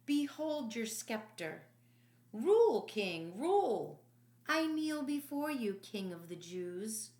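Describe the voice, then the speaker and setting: Lady doing a cruel roman soldier in an audiobook, loud and mocking, slow delivery, echoey room. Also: a little high, sing-song, pitched above the performer's own voice.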